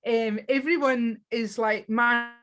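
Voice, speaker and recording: A woman's voice: fairly high pitch, fairly even intonation, takes it slowly, fairly bright tone, faint music behind. A woman guest in a casual interview, talking with enthusiasm and often restarting her sentences. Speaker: guest on spiritual practice.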